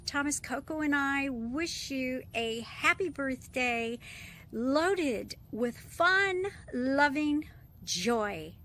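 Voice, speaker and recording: A woman's voice: sits high, expressive, extremely slow, sharp tone, a little hiss or hum. A woman sending a bubbly, singsong birthday message. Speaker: well-wisher.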